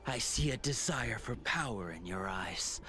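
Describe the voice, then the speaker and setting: A menacing cult alchemist for a video game, theatrical and seductive. His delivery is slow and very animated, with a bright, tense edge. Faint noise sits behind the voice. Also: mid-range voice, soft background music. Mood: sly tempting. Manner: theatrical, swinging from whispers to exalted cries. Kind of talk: video game villain acting.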